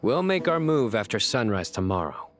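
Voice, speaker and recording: A man's voice: lowish voice, very animated, normal speed, soft background music. An actor playing a practical, caring young male mentor in a video game, considerate and matter-of-fact. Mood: resolved.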